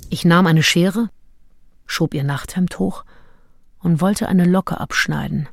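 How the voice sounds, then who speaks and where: An actress playing a self-assured, calculating nineteenth-century seducer who narrates her diary in a radio play, wry and deliberate. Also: fairly low pitch, creaky, no echo, clean studio sound, full character voice. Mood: intimate, wry. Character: self-assured, calculating female seducer and diarist, early 1800s.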